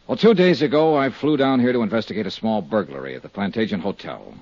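A man's voice: fairly low pitch, animated, fast talker, a little mellow, dry recording. An American man playing a world-weary, candid insurance investigator in a 1950s radio detective drama, speaking in plain, clipped hard-boiled style. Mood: matter-of-fact. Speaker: lead actor and narrator of a detective serial. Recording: muffled, dry 1950s radio recording.

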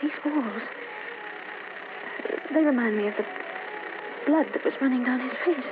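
An actress playing a jealous, defensive young wife in an old time radio horror drama, on a noisy, tinny vintage recording. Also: female voice, sits high, unhurried. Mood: haunted, uneasy. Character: jealous, defensive young wife, newly moved into an uneasy house.

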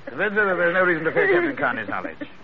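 An actor playing a wily, pompous investigator with florid, deliberate speech in a vintage radio drama. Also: male voice, high-pitched, sing-song, gravelly, crowd noise, exaggerated voice. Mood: coolly commanding. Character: wily, pompous British investigator posing as a smooth blackmailer. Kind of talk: 1940s-50s radio drama acting. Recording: muffled, noisy vintage radio recording.

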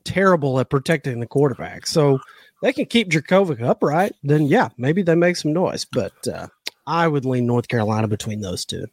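A man's voice: a little high, big pitch swings, normal speed, no echo, clean studio sound. A man co-hosting a sports betting podcast, laid-back and casually swearing, a bit under the weather. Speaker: podcast co-host.

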